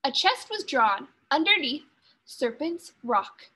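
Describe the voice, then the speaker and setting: A woman teacher reading a children's story aloud with expressive narration. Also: high voice, takes it slowly, poor audio.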